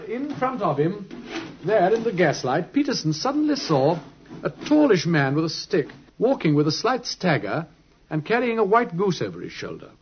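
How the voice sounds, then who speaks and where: An actor with a British accent playing a brisk, absorbed, genial gentleman detective in an old radio drama, speaking precisely and urbanely. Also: high-pitched, a little hiss or hum. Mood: absorbed storytelling. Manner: animated, precise, urbane storytelling. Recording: muffled, husky old radio recording.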